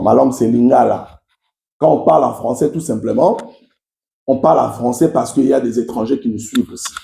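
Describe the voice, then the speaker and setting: A man's voice: medium pitch, gravelly, mellow, roomy sound. A man from Kasai with a Congolese French accent, whose first language is Tshiluba, giving impassioned political commentary and speaking directly to his followers. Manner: emphatic, repetitive, addresses listeners directly.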